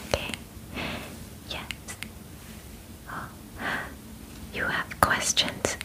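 A young woman voicing a gentle, clinical alien examiner in a breathy ASMR whisper, with halting, formal phrasing. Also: rough recording. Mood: patient, hesitant. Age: young adult. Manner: slow, halting, formal phrasing without contractions. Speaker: ASMR roleplay performer. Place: small bedroom, indoors. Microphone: close microphone.

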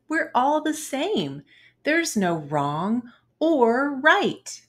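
Slow, lively woman reading a children's story. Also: fairly high pitch. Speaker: host of children's read-aloud channel. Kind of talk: children's picture book read-aloud, scripted narration.

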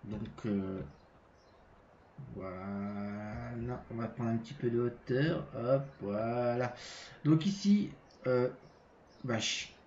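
A French man walks through a game in a casual, halting way, with a stuffy nose from a cold and many pauses and restarts. His voice is mellow and dark. The home mic sounds a bit roomy and dull, with faint noise behind. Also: mid-range voice. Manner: hesitant, many fillers and restarts. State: has a cold. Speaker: gaming channel host. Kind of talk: casual game walkthrough commentary.

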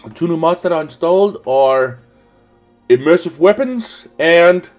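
A man's voice: fairly high pitch, expressive, a little slow, a little mellow. A young man with a sniffly cold giving casual, rambling, sweary gaming commentary as host. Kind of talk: casual let's play commentary. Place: indoors at a desk, webcam view. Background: faint game music.

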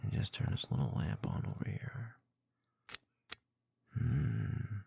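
A man doing intimate ASMR roleplay into a close binaural microphone, murmuring in a husky, breathy, often whispered voice with a slow, teasing, dominant manner. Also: rumbling low, big pitch swings, mellow, slight background noise. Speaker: ASMR audio performer.

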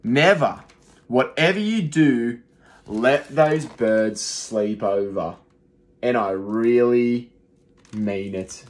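A man's voice: medium pitch, extremely slow. Young man reading a rhyming picture book. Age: young adult. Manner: rhythmic, expressive rhyming read-aloud. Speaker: teacher. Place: small room indoors, seated on a sofa.

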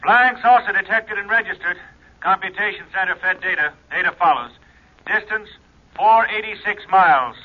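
A high-voiced radar operator. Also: male voice, normal speed. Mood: precise. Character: male radar operator, precise. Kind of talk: 1950s radio drama acting. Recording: dull old radio recording.

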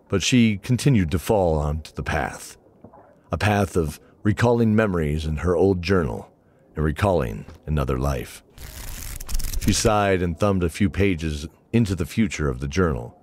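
Man, very low voice, dramatic scripted narration, storyteller. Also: lively intonation, unhurried, darkish tone.

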